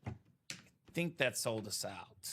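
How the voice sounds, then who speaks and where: Bright-voiced, chatty card break host. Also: male voice, on the low side, animated, slow, a little hiss or hum. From Chicagoland area, born in Bulgaria. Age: 30s. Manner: chatty, salesy, addresses viewers by name. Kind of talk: casual live-stream chat.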